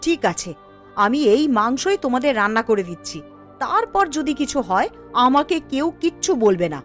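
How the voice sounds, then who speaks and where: Actress as cartoon village mother, high voice, very animated pitch, nagging, exclamatory, fussing. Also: normal speed, soft background music. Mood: disgusted, scolding. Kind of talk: Bengali animated cartoon story dialogue.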